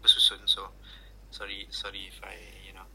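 A man's voice: low voice, completely flat delivery, a little fast, crowd noise, poor audio. A man speaking as a podcast guest, hesitant, often stopping to search for words. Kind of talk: casual chat. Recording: faint background noise.

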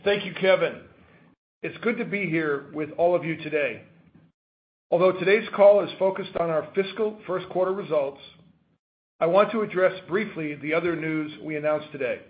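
A man delivers formal prepared remarks as a company chairman. His voice sits somewhat high. The sound is narrow, like a telephone line. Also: moderate pace. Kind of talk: prepared earnings call remarks. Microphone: telephone conference line. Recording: thin telephone-line audio.

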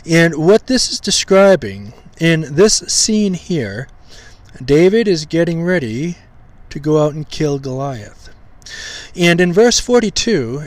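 A man hosting a podcast, teaching scripture in an informal way with a slightly hoarse, weak voice, full of pauses, ums and restarts while he tries to enunciate carefully. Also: on the high side, no room sound. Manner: halting, many ums and restarts, deliberate enunciation.

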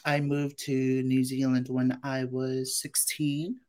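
Bubbly Samoan-raised actress guest. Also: female voice, a bass voice, flat delivery, warm tone, slight background noise. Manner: bubbly, playful, quick to laugh. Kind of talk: interview chat.

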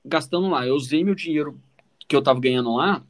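A man's voice: on the high side, fast. A man with a Brazilian Portuguese accent telling his own story in a casual, informal way as a podcast co-host, often adding little check-in questions.

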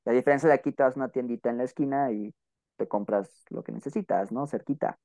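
A man's voice: medium pitch, quick pace, warm tone. A man with a Mexican Spanish accent speaking informally and chattily as a podcast host and interviewer. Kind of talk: casual podcast talk and interview.

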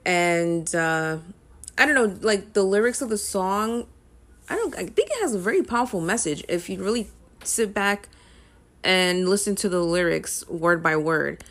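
A woman's voice: mid-range voice, animated, measured pace. A young woman talking casually to camera as a music vlogger, rambling with frequent ums and uhs.

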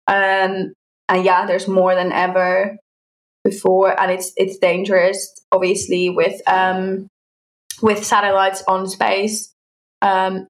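A woman from Finland, a native Finnish speaker, chatting casually as a podcast co-host and sharing news in informal, hesitant phrasing. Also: medium pitch. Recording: roomy, echoey sound.

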